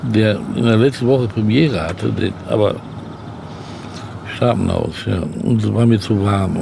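Gravelly older man chatting casually. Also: fairly low pitch, measured pace. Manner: easygoing, agreeing with short murmurs. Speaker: guest author. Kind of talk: casual conversation. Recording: background vehicle noise.

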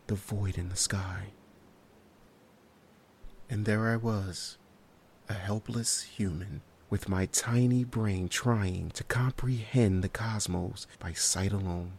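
A man's voice: on the low side, darkish tone, a little hiss or hum. An actor playing a haunted survivor in a horror audio drama, recounting his ordeal in a hesitant, solemn and descriptive way. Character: haunted male survivor recounting a harrowing night.

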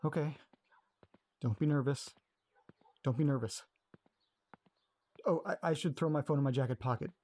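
Awkward nervous young man, hesitant and rambling, mellow voice, played by a man. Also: a little high, normal speed, long pauses, no echo, natural voice. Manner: hesitant, nervous, repeats himself. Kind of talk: audio drama acting.

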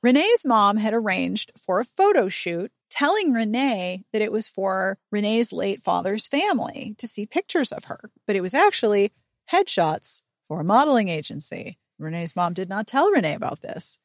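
A woman hosting a podcast, giving a chatty, humorous book recap with sarcastic, expressive delivery. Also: medium pitch, normal speed, dry recording, studio quality. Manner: sarcastic, expressive, acts out dialogue. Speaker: podcast host.

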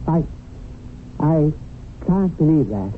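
An actor playing a mild, earnest guardian angel in a 1970s radio drama, hesitant and a little defensive. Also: male voice, on the high side, sing-song, slow pace, slight background noise. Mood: anxious pleading. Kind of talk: 1970s radio drama acting and first-person narration. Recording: tinny vintage radio broadcast.

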